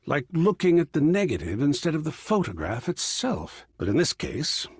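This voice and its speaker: An effusive art curator from a video game, with a wildly swooping pitch and a slightly husky edge. He is refined, fussy and theatrical, lingering over ornate phrases. The recording is dry and close. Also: wildly swooping pitch, moderate pace, dry recording, heavily acted. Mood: musing, playful. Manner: florid, fussy, swooping, savoring words. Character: effusive, refined male art curator, an artwork come alive. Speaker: gallery curator character.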